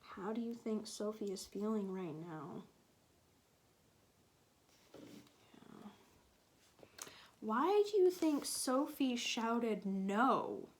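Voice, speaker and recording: A woman with a medium-pitched voice reads a picture book aloud to young children at a slow pace. She talks like a teacher, stopping to ask simple questions and patiently explaining, with pauses left for answers. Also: expressive, a little hiss or hum.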